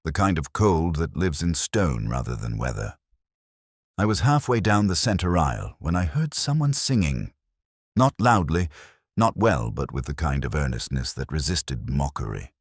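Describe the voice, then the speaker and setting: A man reading a scripted first-person detective story in a measured, reflective, literary manner. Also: a bass voice, very animated, breathy edge, no echo, studio quality.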